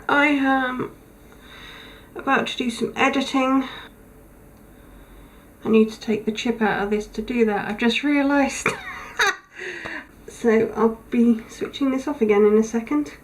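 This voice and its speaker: A tired woman recording a casual video diary, her voice thin and weak, talking haltingly with many pauses. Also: a little high, a little hiss or hum, poor audio. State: tired, low on energy. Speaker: vlogger. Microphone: camera mic.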